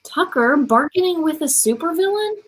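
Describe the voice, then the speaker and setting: A woman's voice: high voice, lively intonation, normal speed. A woman playing an exasperated, sceptical young camper heroine in an audio drama, with a pointed delivery. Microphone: video call.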